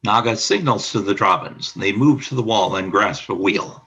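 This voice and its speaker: A man narrating a scripted radio play as storyteller, with a husky voice. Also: on the low side, moderate pace, bright, forceful tone, lo-fi sound.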